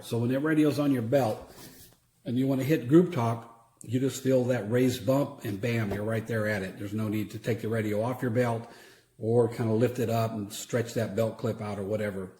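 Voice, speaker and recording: A man's voice: medium pitch, fairly even intonation, moderate pace, a little mellow. Man reviewing gear in echoey room. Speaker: product reviewer; church security team member. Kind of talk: casual unscripted product demonstration. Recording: roomy echo.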